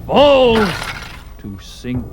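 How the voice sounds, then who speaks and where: Slow, intense male story narrator. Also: high-pitched, huge pitch swings. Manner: intense, theatrical, portentous. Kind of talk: dramatic scripted story narration. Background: prominent music.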